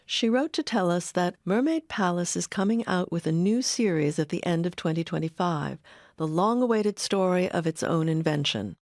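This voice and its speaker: A woman's voice: on the low side, expressive, moderate pace, darkish tone, no echo, clean studio sound. A woman reading scripted narration as the narrator of a documentary. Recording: clear music bed under voice. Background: music.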